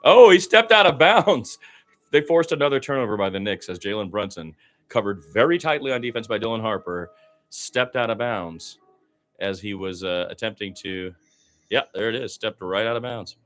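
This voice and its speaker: A man's voice: on the low side, moderate pace, faint music behind. A man doing live radio play-by-play of a basketball game in short, clipped phrases that rise with the action. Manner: narrates action in short clipped phrases, rising excitedly on big plays.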